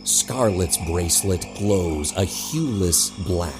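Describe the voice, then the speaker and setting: A man's voice: deep voice, expressive, slow, over loud music. A man reading scripted narration for an audio drama, describing the action as narrator.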